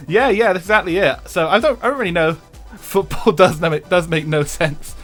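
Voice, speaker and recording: A man's voice: high-pitched, measured pace, a bit bright, faint music behind. A young English man chatting casually with his viewers while streaming a racing game, swearing freely and laughing often.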